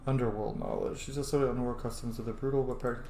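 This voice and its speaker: A man's voice: medium pitch, fairly even intonation, fast, mellow, reverberant, a little hiss or hum. A man giving casual, informal let's-play roleplay commentary with some swearing. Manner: informal, profane. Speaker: streamer, self-styled dungeon master.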